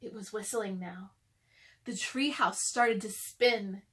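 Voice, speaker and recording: A woman's voice: mid-range voice, takes it slowly, a bit bright. A woman hosting a children's read-aloud, narrating a storybook expressively.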